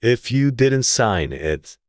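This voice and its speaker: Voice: medium pitch, sing-song, slow, no room sound, clean studio sound. A narrator as a pompous, muddled storybook king. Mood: self-satisfied. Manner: pompous, emphatic.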